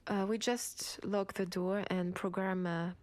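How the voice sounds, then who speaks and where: A woman with a French accent playing an ambitious, protective cyberpunk cop in an intimate sci-fi audio roleplay, with soft, breathy delivery. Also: mid-range voice, fairly even intonation, a little slow, no room sound, close to an everyday voice. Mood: businesslike. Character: ambitious, protective female cyberpunk cop, senior partner to a rookie. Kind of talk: intimate sci-fi roleplay acting, one side of a dialogue.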